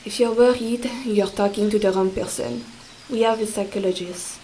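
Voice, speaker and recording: A woman's voice: mid-range voice, steady tone, normal speed, dark tone, slight background noise, lightly acted, rough recording. A woman playing a weary, defensive astronaut scientist in an audio drama, with a clipped, strained manner. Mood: dismissive. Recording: faint background ambience.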